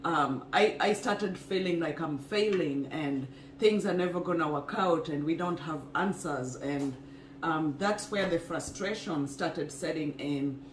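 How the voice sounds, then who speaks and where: A woman from Kenya talks at a steady, earnest pace about her own life. She sits in an echoey home kitchen, with a faint hiss under the voice. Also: sits low, measured pace. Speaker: co-host, wellness couple. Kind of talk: casual personal story to camera. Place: home kitchen, indoors, small room. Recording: echoey room, faint noise.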